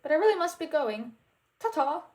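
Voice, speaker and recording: A woman's voice: high voice, big pitch swings, normal speed, voice pushed up. A woman voicing a confident, boyish young prince in a storybook, with formal and emphatic speech. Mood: polite, breezy.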